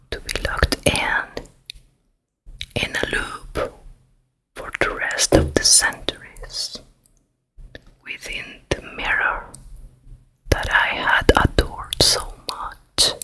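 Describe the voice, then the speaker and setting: Casting: actress for scheming evil stepmother queen, ASMR fairy tale, extremely slow breathy whisper, menacing and vain. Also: pushed and bright, low-quality recording. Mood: bitter. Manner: very slow, repetitive, menacing murmur. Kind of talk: ASMR roleplay.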